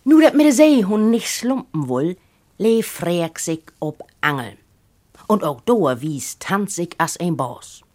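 Female storyteller, Low German accent, lowish pitch, very lively intonation for humorous prose. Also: slow pace. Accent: northern German, Low German. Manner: animated, acts out characters' speech. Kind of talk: expressive literary reading of a humorous story.

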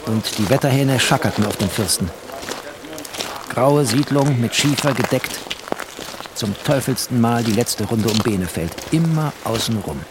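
An actor playing a bitter, bookish male refugee who narrates a postwar German radio play in expressive, poetic, sardonic tones. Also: medium pitch, normal speed, background noise, natural voice. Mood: brooding, lyrical.

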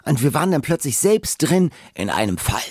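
A woman podcast host reporting and chatting casually, curious and lively. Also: very deep voice, brisk.

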